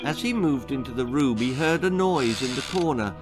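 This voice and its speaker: A man's voice: mid-range voice, expressive. Older man reading a spooky tale over music. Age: older, sixties. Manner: measured, theatrical, suspenseful delivery. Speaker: author reading his own children's story. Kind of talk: dramatic storytelling, spooky children's tale narration. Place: book-lined room indoors.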